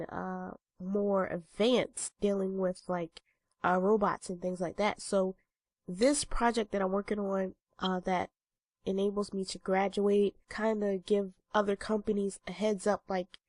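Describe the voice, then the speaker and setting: A woman's voice: mid-range voice, darkish tone, no echo. Stuffy-nosed young woman rambling casually. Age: 20s. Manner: rambling, many ums and uhs. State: stuffy, congested nose. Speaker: vlogger. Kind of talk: casual talking-head vlog.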